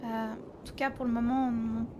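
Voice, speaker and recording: A woman's voice: fairly high pitch, level tone, moderate pace, background noise, natural voice. A woman improvising as a candid, lovelorn young woman in a roleplay game, apologetic and often searching for words. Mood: gentle, apologetic. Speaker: roleplayer in an online game server. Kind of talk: improvised in-character conversation.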